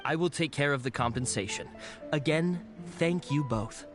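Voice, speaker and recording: A man's voice: fairly high pitch, normal speed, over music, natural voice. A man playing a polite, dutiful government clerk in a video game, speaking formally. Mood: grateful. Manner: formal, courteous. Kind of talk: video game character acting.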